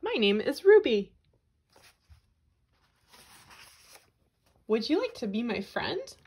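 A woman's voice: a little high, big pitch swings, normal speed, a little mellow, slightly rough, pitched above the performer's own voice, exaggerated voice. A woman voicing a small, friendly, eager young female bird from a storybook, chirpy and earnest. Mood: hopeful.

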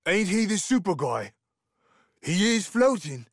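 A man with a British accent playing a big, uncouth, lazy brawler in a video game, his voice gravelly. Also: high-pitched, sing-song, measured pace, dry recording, clean studio sound, full character voice. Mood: grumbling, cheeky.

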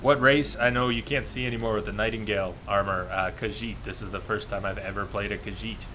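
A man's voice: fairly low pitch, steady tone, a little fast. A man in his thirties hosting a video game livestream, musing casually as he chats with viewers. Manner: musing, chatting with viewers between game remarks. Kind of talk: casual gaming stream chat. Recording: clear background noise from game ambience.

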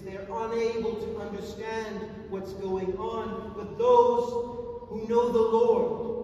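A man in his forties, an Orthodox priest, preaching a sermon in a large stone church, measured and emphatic with long pauses. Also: sits high, warm tone, a little hiss or hum. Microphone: far camera. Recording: echoey church from far off.

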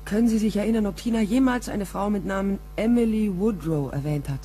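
A woman's voice: mid-range voice, brisk, warm tone, a little hiss or hum, natural voice. An actress playing a tough, persistent woman private detective in a crime radio play, dry, probing and direct. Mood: probing, insistent. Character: tough, persistent female private detective, dryly world-weary. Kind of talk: crime radio play acting and first-person narration.

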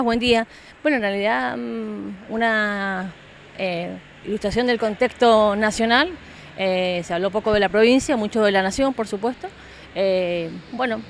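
A woman in her forties with an Argentine accent gives an unscripted interview answer as a local councillor, into a handheld microphone outdoors. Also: medium pitch. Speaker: city councillor being interviewed. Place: outdoors by a building. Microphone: handheld reporter microphone. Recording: clear outdoor ambient noise.

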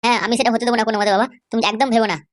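A man's voice: very high-pitched, flat delivery, rapid-fire. A man voicing a protective young nephew in an amateur comedy cartoon, insistent, boastful and reassuring. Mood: determined. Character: cocky, protective young nephew vowing revenge.

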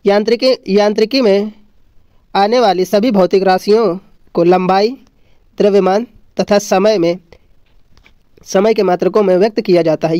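A man's voice: high-pitched, mellow, clean studio sound. A man teaching an exam lesson from notes, didactically repeating points and answering his own questions. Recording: dull lo-fi, roomy echo.

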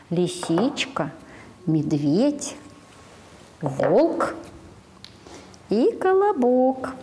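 A woman's voice: lowish voice, dark tone, long reverb tail, a little hiss or hum. Sing-song woman coaxing a child. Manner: slow, sing-song, prompting the child to finish words. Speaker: speech therapist. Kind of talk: telling a fairy tale to a small child. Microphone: lapel mic.

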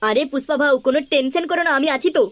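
A fast, high-pitched cocky little boy. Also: fairly bright tone. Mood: cocky, wheedling. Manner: cheeky, smooth-talking. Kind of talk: comedy cartoon dubbing.

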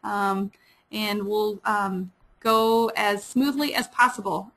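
Fast-talking Midwestern woman librarian. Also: mid-range voice, fairly even intonation, fairly bright tone. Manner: fast-talking, many fillers and restarts. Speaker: librarian presenting a webinar. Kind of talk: webinar lecture.

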